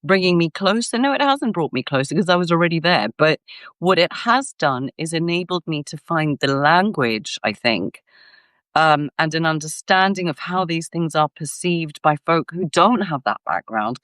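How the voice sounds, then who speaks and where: Give me an animated woman from Greece as an interview guest, emphatic, with a dry close sound. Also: deep voice, moderate pace, dry recording. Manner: animated, emphatic, frequent self-restarts. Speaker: guest scholar. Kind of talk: casual interview talk.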